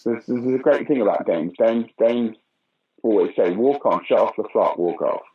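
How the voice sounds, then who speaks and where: A man's voice: fairly low pitch, moderate pace, husky, muffled recording. An older British man, a pantomime dame actor, telling stage stories in a casual interview. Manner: storytelling, animated anecdotes.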